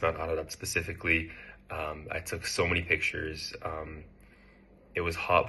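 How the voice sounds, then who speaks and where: A young American man in his twenties, speaking about his internship in a relaxed, hesitant way with frequent fillers. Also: low voice, husky. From United States. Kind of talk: casual testimonial.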